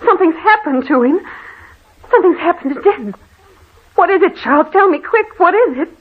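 High-voiced, frightened radio drama wife. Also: female voice, lively intonation, a little fast. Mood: panicked. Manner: fearful, melodramatic. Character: frightened, anxious wife in a haunted house. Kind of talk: 1940s radio drama acting. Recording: tinny, hissy old radio recording.

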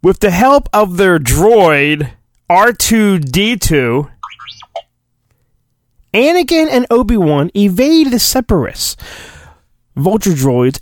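A man's voice: sits high, no room sound, studio quality. A man hosting a podcast, casual and self-deprecating, reading a children's storybook aloud in a playful mock-dramatic way. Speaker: podcast host.

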